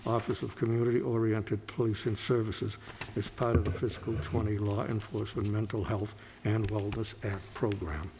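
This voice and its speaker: A man with a lowish voice reads council agenda items aloud in a steady, formal cadence. He is in a council chamber, with a faint hum of noise behind him. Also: moderate pace. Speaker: city clerk.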